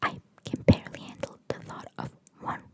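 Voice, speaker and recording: A woman speaks entirely in a breathy, unvoiced whisper. She is an ASMR host reading facts aloud, and her delivery is informal and chatty, with her own opinions mixed in. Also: moderate pace, warm tone. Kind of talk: ASMR, whispered reading of trivia with casual asides.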